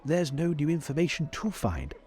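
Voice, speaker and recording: A man's voice: a little high, very animated, moderate pace, darkish tone. A man playing an earnest, patronizing older university professor who speaks formally and hesitantly, repeating his words, in tabletop roleplay. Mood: concerned, pleading.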